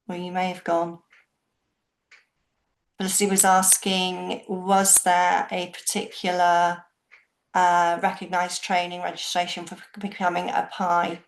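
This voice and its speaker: A woman's voice: lowish voice, monotone, unhurried. Woman chairing a video call, echoey room. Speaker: event moderator. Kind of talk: online book launch discussion.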